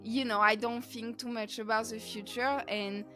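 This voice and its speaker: French-accented woman, higher-pitched voice. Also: fairly even intonation, moderate pace, pushed and bright, soft background music. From France. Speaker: podcast host being interviewed. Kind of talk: casual conversational interview chat.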